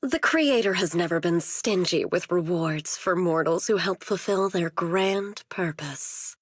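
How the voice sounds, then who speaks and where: An actress playing a sinister, seductive game villainess, a coolly manipulative emissary with a deliberate, taunting delivery. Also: female voice, lowish voice, dry recording, studio quality. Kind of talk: dramatic video game voice acting.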